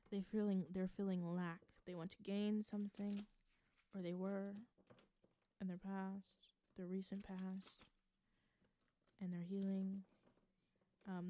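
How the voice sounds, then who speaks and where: A woman from the American South giving an intuitive tarot reading to viewers. She gives earnest advice, repeats phrases for emphasis and laughs softly. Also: mid-range voice, little pitch movement, measured pace, long pauses. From Southern United States. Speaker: tarot reader.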